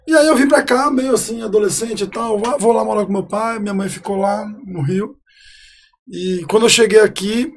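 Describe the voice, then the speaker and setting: A man of about forty from Rio de Janeiro, speaking with a high, slightly husky voice. He tells stories about himself in a loose, chatty way, and is recorded close on a studio podcast mic. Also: darkish tone. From Rio de Janeiro, Brazil. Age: 40s. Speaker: guest, city councillor. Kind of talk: casual storytelling interview.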